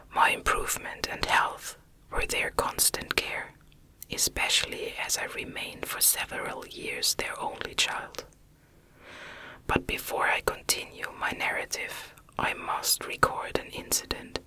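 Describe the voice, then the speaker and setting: A woman's voice: sharp tone, rough recording. Whispering woman reading a classic novel. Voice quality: breathy unvoiced whisper. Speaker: audiobook narrator.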